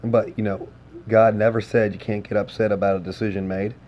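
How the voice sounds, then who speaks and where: A man with a hoarse, worn voice chatting casually with his livestream viewers, easygoing and full of filler words. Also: on the low side, flat delivery, a little fast, darkish tone. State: hoarse, lost voice. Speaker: game streamer.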